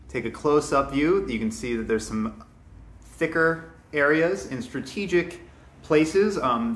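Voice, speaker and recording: A young man giving a relaxed, casual product review with frequent ums and restarts, recorded on a camera mic in a bare room. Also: medium pitch. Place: bare indoor room with wooden floor. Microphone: camera mic, near then from a distance. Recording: echoey room, faint hiss.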